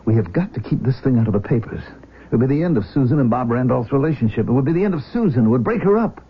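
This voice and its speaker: An actor in a 1970s radio drama playing a middle-aged, protective former soldier, earnest, emphatic and halting. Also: male voice, mid-range voice, animated, breathy edge. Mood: anxious, resolved. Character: protective father, former soldier, agitated but determined. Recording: dull, noisy old radio broadcast.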